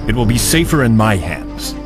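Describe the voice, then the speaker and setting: An actor playing a ruthless, arrogant young heir to a warlord clan, speaking imperiously and with menace in a video game. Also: male voice, on the low side, sing-song, moderate pace. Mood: commanding. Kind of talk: video game cutscene acting. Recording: dramatic game music behind.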